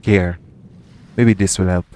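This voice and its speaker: A man playing a shady, smooth-talking pirate captain in an audio roleplay, coaxing and self-assured in a conversational way. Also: sits low, fairly even intonation, takes it slowly, dark tone. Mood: teasing, coaxing. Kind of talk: second-person audio roleplay acting.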